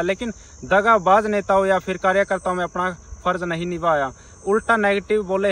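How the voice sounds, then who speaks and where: High voiced guy w doing an informal news update, kinda halting, repeats himself. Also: fairly bright tone, poor audio. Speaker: news presenter. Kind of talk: informal news report addressed to viewers.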